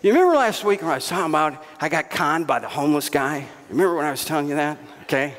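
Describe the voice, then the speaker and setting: A lively middle-aged male pastor tells stories from the stage, his fairly high voice leaping up and down as he gestures. He speaks into a headset mic, and his words ring out with a long hall reverb. Now and then he breaks into raised, shouting bursts. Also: racing. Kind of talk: storytelling sermon. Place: church stage before a congregation.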